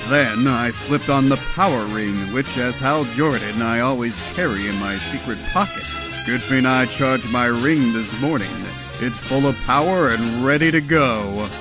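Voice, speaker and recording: A man's voice: medium pitch, unhurried, over music, lightly acted. A man playing a confident, earnest comic-book superhero recounting his exploits. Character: confident adult male superhero, earnest and quick-acting. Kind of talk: comic book performance, first-person recounting.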